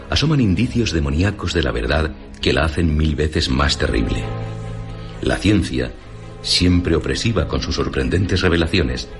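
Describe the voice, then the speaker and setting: Man, deep voice, scripted radio narration, formal announcer delivery, soft music bed.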